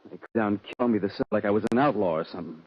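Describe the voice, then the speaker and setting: An actor playing an earnest, desperate young cowboy on the run in an old-time radio western. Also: male voice, on the low side, racing, breathy edge. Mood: weary, earnest. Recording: dull old radio recording.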